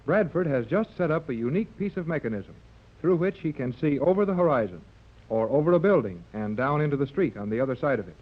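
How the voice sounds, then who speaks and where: A male announcer narrates an old radio adventure serial. His delivery is deliberate and full of suspense. The 1930s recording sounds dull and muffled. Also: a little high, sing-song. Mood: suspenseful.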